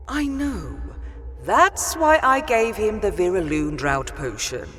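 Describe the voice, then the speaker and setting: An imperious, possessive female dusk elf vampire in a dark fantasy audio drama. She lingers theatrically over her words with a widely swinging pitch, over prominent music. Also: lively intonation, slow pace. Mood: smitten, intimate.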